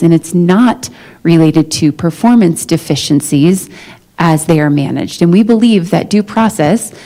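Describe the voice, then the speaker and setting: A woman with a slightly low, very mellow voice speaks as a negotiator in a formal meeting. She explains her position in measured, diplomatic phrases, keeping a conversational feel. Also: low-quality recording. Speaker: negotiator for a school district's management. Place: meeting room, live-streamed negotiation session.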